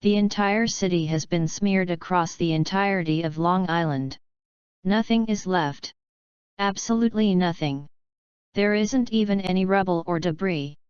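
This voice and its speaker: A bleak emergency agency official played by a synthetic woman delivers a stark disaster report. The voice is very clean and rather low, measured throughout, on a dull band-limited line. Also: darkish tone, smooth, no echo, natural voice. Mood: devastated, bleak. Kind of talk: scripted phone report in amateur disaster drama.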